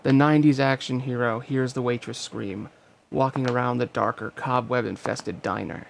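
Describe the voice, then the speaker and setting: A man's voice: moderate pace, gravelly, low-quality recording. A man reading out a screenplay as narrator, in a dramatic scripted style, at a table read. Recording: loud ambient rumble behind voice.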